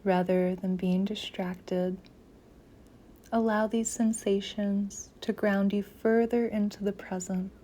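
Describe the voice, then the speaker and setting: A woman leading a guided meditation in a soft, gentle, soothing voice, with phrases often trailing into near-whispers. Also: medium pitch, level tone, slow, slight background noise.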